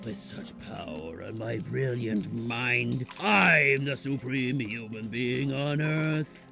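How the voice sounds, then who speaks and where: An unhinged, arrogant supervillain scientist, grandiose and melodramatic. The gravelly voice swings widely in pitch over prominent music, as in a comic book dub. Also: male voice, lively intonation, takes it slowly, sharp tone, heavily acted. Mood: gloating, amazed.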